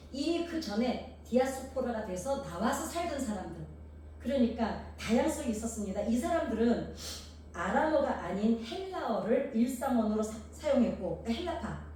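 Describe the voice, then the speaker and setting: A woman's voice: a little high, dark tone, recorded from a distance, slight background noise. Korean woman preaching in an echoey hall. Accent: Jeolla-tinged Korean. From Gangwon Province, South Korea. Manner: poses rhetorical questions to the congregation; homely, anecdotal storytelling. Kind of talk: sermon.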